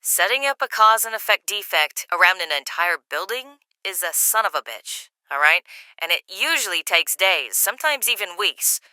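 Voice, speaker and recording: A woman's voice: mid-range voice, animated, moderate pace, pushed and bright, clean tone, dry recording, rough recording. A woman playing a hard, exasperated, foul-mouthed handler in an audio drama, stern and terse but reluctantly helpful.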